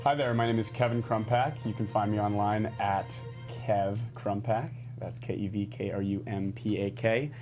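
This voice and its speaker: A man's voice: fairly low pitch, fairly even intonation, normal speed, music in the background. A man casually introducing himself as one of a panel of actors.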